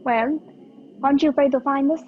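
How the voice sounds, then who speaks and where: A young woman acting a sharp-tongued, indignant captive companion in an amateur radio play. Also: high-pitched, fairly even intonation, fairly quick, natural voice. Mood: wry. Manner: tart, sarcastic. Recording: dull lo-fi video call audio. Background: faint music.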